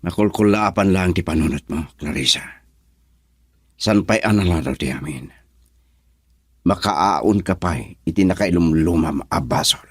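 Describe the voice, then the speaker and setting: An actor in a radio drama playing an earnest young suitor who reasons and admonishes reproachfully. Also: male voice, low voice, unhurried, long pauses, breathy edge.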